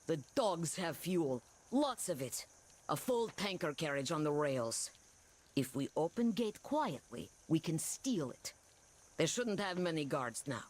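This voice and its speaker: A man's voice: a little high, very animated, unhurried. An actor playing a terse local guide in a video game, a man speaking in short, simple sentences. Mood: guarded, earnest. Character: terse local guide informing on enemy forces.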